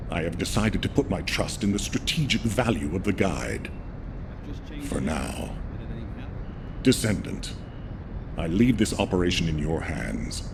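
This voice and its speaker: A very low-voiced male commander for a game cutscene, solemn and resolute. He briefs with measured authority while a clear rumbling noise sits behind him. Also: exaggerated voice. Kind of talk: scripted game cutscene acting.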